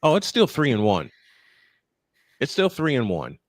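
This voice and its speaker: An older man doing live baseball play-by-play with folksy, playful banter between pitches. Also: wildly swooping pitch, a little slow, dry recording, clean studio sound.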